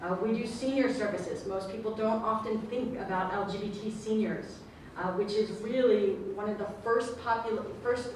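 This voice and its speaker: A woman addresses a community meeting, her loud, earnest voice carrying through the room. She stands well back from the microphone, and the space rings with heavy echo. Faint room noise sits underneath. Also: mid-range voice. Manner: earnest, speaks loudly by her own account. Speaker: guest speaker. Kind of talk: public talk at a meeting. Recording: echoey room, voice from far off.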